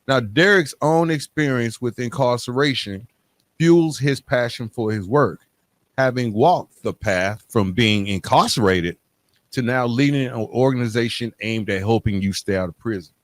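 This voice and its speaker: Male podcast host, mid-pitched, scripted intros and casual questions, dry recording. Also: moderate pace, dry recording.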